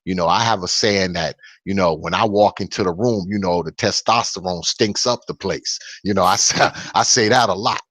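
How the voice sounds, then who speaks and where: Deep-voiced man, blunt and dry. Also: fairly bright tone, dry recording. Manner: blunt, repeats "you know" often. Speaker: guest. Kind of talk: casual video interview chat.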